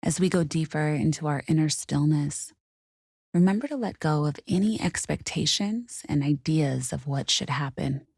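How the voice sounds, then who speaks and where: A woman guiding a meditation in a soothing, unhurried, softly spoken way with gentle pauses. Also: low-pitched, expressive, creaky, slightly rough, no room sound, clean studio sound. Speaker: meditation guide. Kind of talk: guided meditation narration.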